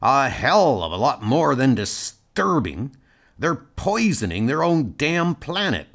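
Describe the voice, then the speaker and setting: A man voicing a gruff, blunt, exasperated starship doctor in an audio comic dub. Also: mid-range voice, very animated, takes it slowly, fairly bright tone, heavily acted. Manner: blunt, argumentative, sardonic.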